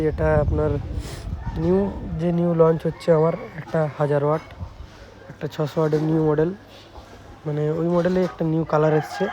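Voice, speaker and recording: A man's voice: fairly high pitch, warm tone. A man with a West Bengal Bengali accent giving casual, plain-spoken, informal vlog commentary on his work, repeating himself often. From West Bengal, India. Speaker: speaker repair shop owner presenting his work. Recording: muffled lo-fi sound with faint noise.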